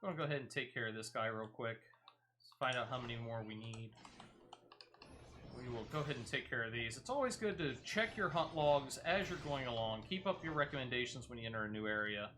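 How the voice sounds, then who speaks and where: A man with a bright, forward voice at a middling pitch commentates casually on a video game. He talks through each move as he makes it and explains tips for viewers step by step, like a streamer guiding an audience. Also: lively intonation, fairly quick, slight background noise. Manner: explains step by step, talking through own actions.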